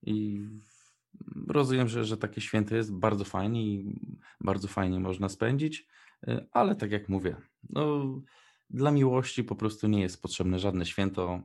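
A man's voice: on the low side, a little slow, gravelly, a little mellow. A young man co-hosting a radio show in casual chat, with informal, hesitant phrasing. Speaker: radio co-host.

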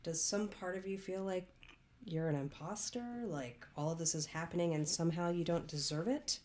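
A woman's voice: lowish voice, normal speed, a little mellow, natural voice. A woman playing a skeptical confidante in a radio play, blunt and probing, asking dry, direct questions. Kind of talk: naturalistic comedic radio play acting.

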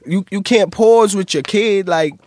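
A man's voice: high-pitched, measured pace, darkish tone, no room sound. A man with a Brooklyn accent, a guest rapper chatting in a laid-back, slangy way. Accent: New York (Brooklyn). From Brooklyn, New York. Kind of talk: casual interview chat.

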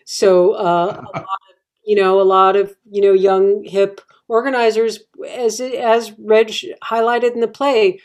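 A woman with a mellow, warm voice talks on a panel. She speaks haltingly, stumbling over fillers as she searches for her words. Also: medium pitch, flat delivery, smooth. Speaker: community member and panelist. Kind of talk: casual panel discussion.

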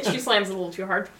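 A young woman playing in a tabletop game, playful and chatty. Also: mid-range voice. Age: young adult. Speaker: player. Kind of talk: casual tabletop game chat. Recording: roomy, distant, noisy.

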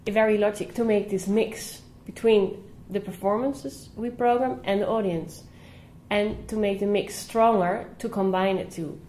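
A woman who organizes a theater program, explaining her idea in a steady interview. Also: medium pitch, measured pace, roomy sound, a little hiss or hum.

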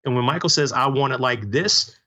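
A man co-hosting a casual music podcast, telling stories with emphatic, drawn-out points. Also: medium pitch, sharp tone. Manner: emphatic, drawn-out listing, storytelling. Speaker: podcast co-host. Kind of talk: casual chat.

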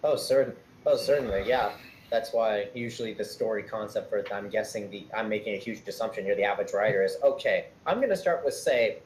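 A man's voice: on the low side, fairly quick, a little hiss or hum, low-quality recording. A man hosting a casual group podcast, rambling and restarting his sentences as he reads out listener questions. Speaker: podcast host.

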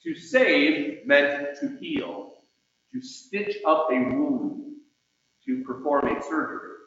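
A man delivering a sermon in measured, emphatic phrases with deliberate pauses, reading out scripture and quotations as he goes. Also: fairly high pitch, very animated, a bit bright. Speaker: preacher. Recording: muffled, very echoey hall with long reverb.

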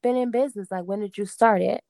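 A woman hosting a casual interview, asking questions in a relaxed, friendly way with loose fillers. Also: medium pitch, fast talker, dry recording, clean studio sound. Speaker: host, interviewer.

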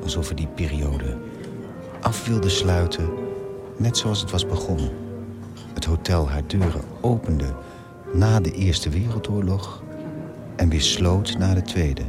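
A deep-voiced ageing hotelier reminisces over loud music. He is wistful and measured, telling his story as a radio drama monologue. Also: lively intonation. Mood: wistful, reflective.

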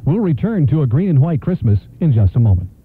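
A man's voice: a little high, sing-song, fast. A man announcing for a radio station in a scripted promotional style. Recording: thin phone-like radio broadcast, faint music.

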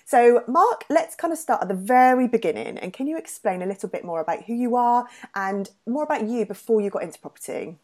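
A woman's voice: a little high, animated, fairly quick, hardly any pauses. Chatty woman hosting a podcast. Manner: chatty, warm-up rambling with fillers. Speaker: podcast host. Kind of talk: casual interview chat.

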